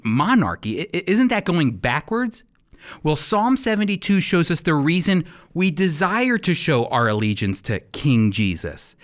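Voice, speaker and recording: Animated, higher-voiced American man preaching. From United States. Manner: emphatic, deliberate phrasing with rhetorical questions. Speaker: Bible teacher and radio program host. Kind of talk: sermon-style Bible teaching with scripture reading.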